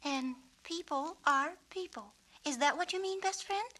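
A woman voicing an earnest, gentle young girl in a storybook, girlish and a little halting. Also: extremely high pitch, voice pushed up, full character voice. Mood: thoughtful, gentle. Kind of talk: storybook character reading.

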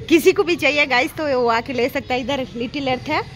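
A middle-aged woman chats straight to her viewers through a phone held out at arm's length. Her voice sits high. Loud background music plays under her outdoor night recording. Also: a little fast. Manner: chatty, talks straight to viewers. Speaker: vlogger. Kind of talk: casual travel vlog chat. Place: outdoor cafe and street at night. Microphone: phone camera held at arm's length.

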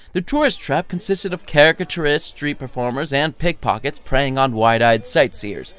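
A man's voice: fairly high pitch, fairly bright tone. A man reading his own comic story in an irreverent, sardonic, chatty and theatrical way, addressing the listener directly. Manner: irreverent, sardonic, foul-mouthed. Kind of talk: comedic storytelling narration.

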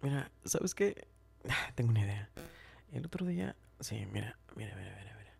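A man playing a smitten, tender and teasing best friend in an ASMR roleplay, speaking softly and hesitantly, often whispering and repeating himself. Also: fairly low pitch, sing-song, moderate pace, heavily acted. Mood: shy, intimate. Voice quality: soft, breathy, often whispering. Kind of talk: ASMR roleplay, intimate chat.

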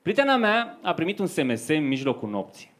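High-voiced young male stand-up comedian. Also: sing-song, a bit bright. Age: young adult. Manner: conversational storytelling to a live audience. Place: on stage in a dark comedy club. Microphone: handheld microphone. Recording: faint noise, live club stage recording.

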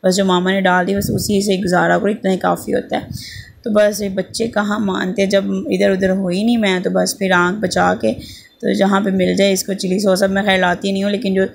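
Hoarse Pakistani mother, gravelly voice-over. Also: medium pitch, level tone, brisk. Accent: Pakistani Urdu. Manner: chatty, rambling, homely. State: sore throat, slight fever. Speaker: vlogger, mother. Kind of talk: casual voice-over narration. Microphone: voice-over at close mic.

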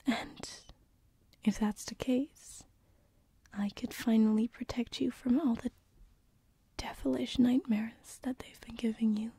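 Girl acting as a lovestruck demon lady, slow breathy asmr whispering, super soft n intimate, bit creaky. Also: a little high, steady tone, no echo, heavily acted. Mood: hopeful, protective. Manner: intimate, hesitant, slow and soothing. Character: tender lovestruck female sleep paralysis demon, former succubus. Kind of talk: binaural ASMR roleplay. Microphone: close binaural microphone.

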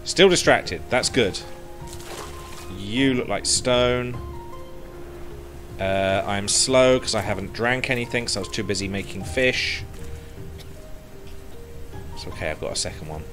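Streamer guy, low-ish voice, chats to himself over game music on a headset. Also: expressive, measured pace, long pauses, a bit bright. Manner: chatty, talks to himself while playing. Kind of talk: casual gameplay commentary.

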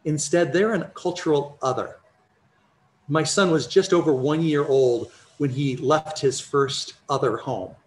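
Man lecturing over a video call. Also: a little high. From United States. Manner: measured, explanatory, personal examples. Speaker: conference lecturer. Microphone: video call, computer mic.

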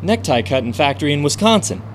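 An actor plays a cocky, scrappy young performer in a radio play, his fairly high voice swinging widely as he talks. He sounds streetwise and quick to excitement. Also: slight background noise. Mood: wry. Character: brash, scrappy young showman narrating his past. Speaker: narrator and lead. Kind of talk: radio drama acting.

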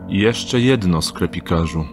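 A curt, low-voiced thief. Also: male voice, slow, music in the background. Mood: guarded. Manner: curt, clipped. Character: terse young male thief, guarded. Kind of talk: radio drama acting.

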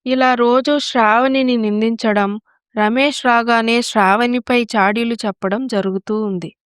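A woman's voice: a little high, steady tone, measured pace, clean tone, dry recording, clean studio sound. A woman narrator telling a scripted moral story.

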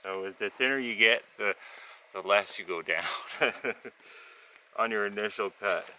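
A man giving relaxed, hands-on instruction while working outdoors in a forest camp, with soft chuckles as he explains. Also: on the low side, measured pace, a little hiss or hum. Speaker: canoe builder.

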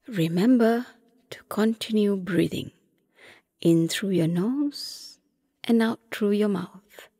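A woman narrating a scripted guided meditation softly and gently, often close to a whisper, with long unhurried pauses. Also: medium pitch, dry recording. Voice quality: soft, breathy, often near whisper.

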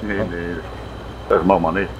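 A man's voice: sits low, normal speed. A middle-aged man chatting casually as a guest co-commentator on a gaming let's play. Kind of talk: casual chat. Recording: noisy, steady fan or vehicle hum.

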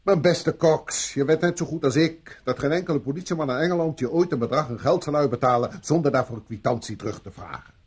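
Stern, sceptical inspector, rough-edged voice. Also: male voice, fairly high pitch, quick pace. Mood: sarcastic, accusing. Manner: sarcastic, interrogating. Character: stern, sceptical male British police inspector. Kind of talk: vintage Dutch radio drama acting.

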